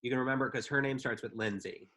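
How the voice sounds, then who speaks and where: A man's voice: medium pitch, steady tone, quick pace, pushed and bright. A man co-hosting a live podcast, chatting in an easygoing way with frequent hesitations and ums. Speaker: podcast co-host. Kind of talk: casual live podcast chat.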